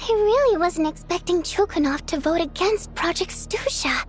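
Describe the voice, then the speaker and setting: A woman's voice: very high voice, expressive, moderate pace, faint music behind. An actress playing an outspoken, girlish young woman in a video game, direct and informal. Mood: curious. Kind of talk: scripted video game acting, conversational.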